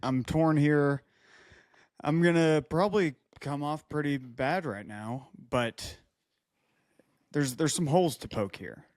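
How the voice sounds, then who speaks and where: A man's voice: a little high, slow pace, darkish tone, no room sound, clean studio sound. A man co-hosting a podcast in casual chat, joking and giving blunt advice. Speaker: podcast co-host.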